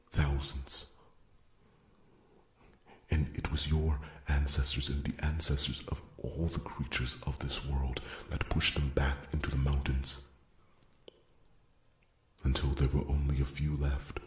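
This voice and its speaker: A man performs a devoted fantasy blacksmith and warrior with a rock-bottom pitch and little melodic movement. He speaks earnestly and haltingly, often repeating words, breathy to the edge of a whisper. It is an ASMR roleplay with a noticeably roomy, echoing sound. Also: flat delivery, a little hiss or hum. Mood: grave, reflective.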